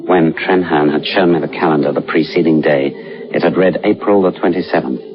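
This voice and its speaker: An actor playing a sober seafaring man in a radio drama, grave and measured as he tells a haunted tale. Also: very deep voice. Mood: grave narration. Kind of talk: radio drama narration and dialogue. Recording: dull radio recording, music behind.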